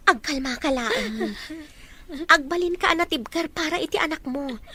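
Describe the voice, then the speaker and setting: A woman's voice: high-pitched, animated, moderate pace, sharp tone. A woman playing a supportive, encouraging young best friend in an Ilocano audio drama. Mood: encouraging, consoling.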